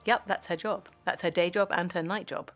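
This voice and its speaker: A woman's voice: on the low side, fairly even intonation, brisk, soft background music. A woman giving blunt, emphatic commentary in an interview.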